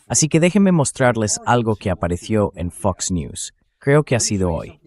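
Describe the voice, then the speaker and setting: A man's voice: fairly low pitch, a little mellow. A man hosting a political commentary show, explaining his points emphatically and addressing viewers directly.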